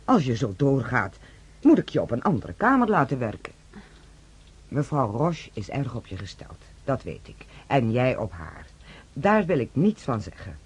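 An actress playing a firm, admonishing but concerned head nurse in a radio play, speaking with a husky voice. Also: female voice, rumbling low, big pitch swings, normal speed, darkish tone, heavily acted. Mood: stern, reproving. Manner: stern, admonishing.

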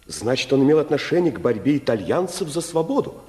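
A man's voice: a little high, very animated, fast, a little mellow. An actor playing a polite, earnest male musician who probes with questions in a 1950s radio drama. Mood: earnest, curious. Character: earnest, inquisitive male musician seeking the truth.

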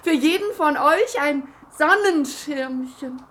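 An actress playing a kindly, whimsical old auntie with a chirpy, doting manner in a radio play. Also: female voice, sits high, takes it slowly, a bit bright. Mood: delighted. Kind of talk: German radio play acting. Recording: noisy old radio drama recording.

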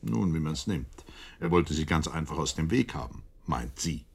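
An actor playing a sceptical, slightly exasperated Victorian doctor in a German radio play, husky and deliberate in his dry delivery. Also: very deep voice, lively intonation, full character voice. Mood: concerned, sceptical. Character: Holmes's loyal doctor friend, Victorian, sceptical, a little exasperated.

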